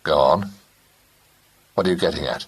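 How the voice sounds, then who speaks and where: A man voicing a calm, teasing young Englishman who speaks in a measured, dry way. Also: a bass voice, husky.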